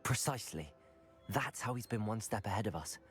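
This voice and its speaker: A man's voice: lowish voice, lively intonation, brisk, fairly bright tone, faint music behind. A man playing an earnest, determined young wizard student in a fantasy game, polite and articulate. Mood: serious, informative. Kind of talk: dramatic fantasy video game acting.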